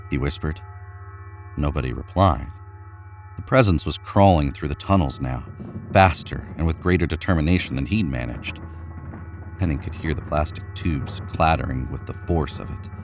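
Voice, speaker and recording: Super deep guy reading a creepy story, slow and tense, faint music under it. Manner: measured, suspenseful delivery. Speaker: narrator. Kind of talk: scripted horror story narration.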